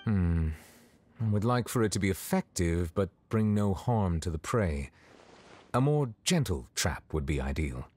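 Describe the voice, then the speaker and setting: Deep, dignified gentleman judge. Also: lively intonation. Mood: gentle, reserved. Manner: formal, solemn, deliberate. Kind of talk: game voice acting. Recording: music playing behind the voice.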